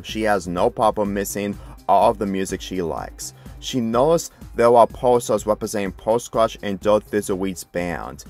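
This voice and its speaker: A man reading a fan fiction story aloud as scripted narration. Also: lowish voice. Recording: music playing behind the voice.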